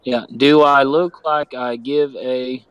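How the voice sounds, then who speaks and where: A man's voice: medium pitch, steady tone, slow pace, smooth, no echo, muffled recording. Guy with a stuffy cold voice hanging out on a stream, laid back, dry sound. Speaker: friend joining the stream. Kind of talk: casual chat.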